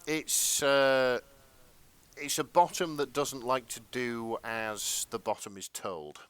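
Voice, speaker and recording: British bloke on a comedy podcast, medium pitch, wry and a bit self deprecating. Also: male voice, takes it slowly, fairly bright tone, smooth. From Britain. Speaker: podcast co-host. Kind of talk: casual comedic chat.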